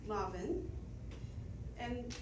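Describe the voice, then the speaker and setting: A middle-aged woman with a Chinese accent gives a measured, self-deprecating prepared speech to her club as outgoing president. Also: mid-range voice, noisy background. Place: meeting room with audience. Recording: echoey room from a distance.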